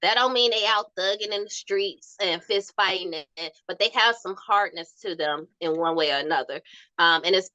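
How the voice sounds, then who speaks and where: A young woman with a soft voice telling stories in a candid podcast chat, acting out quotes as she goes. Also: medium pitch, normal speed, dry recording, muffled recording. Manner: animated storytelling with laughs and acted-out quotes.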